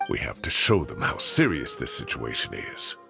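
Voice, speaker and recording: A man playing an indignant, theatrical elderly former professor in a video game, expressive and blustery. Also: a bass voice, normal speed, creaky, over music, full character voice. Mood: earnest.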